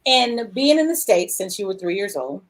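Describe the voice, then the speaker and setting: A woman's voice: mid-range voice, very animated, moderate pace. A woman hosting a casual livestream interview over a video call, chatty and rambling as she reads out viewer comments. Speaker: show host.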